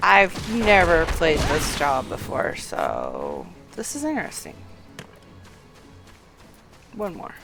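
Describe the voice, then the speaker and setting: A woman streams a game with a casual, unhurried walkthrough. She is low-key, often murmuring to herself, over faint game music. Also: huge pitch swings, vocal fry. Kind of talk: casual live gameplay walkthrough.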